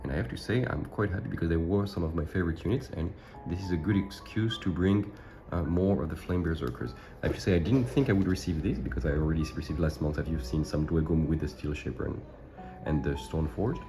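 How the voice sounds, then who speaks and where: A man's voice: low voice, quick pace. A man, sick and a little unclear of voice, chatting casually through a wargaming unboxing as the channel's host, thinking aloud and correcting himself as he goes. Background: background music.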